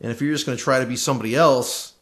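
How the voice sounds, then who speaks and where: A man's voice: mid-range voice, fairly even intonation, rapid-fire, a bit bright. A man co-hosting a podcast in casual conversation, giving emphatic, opinionated advice. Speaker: podcast co-host, recording engineer.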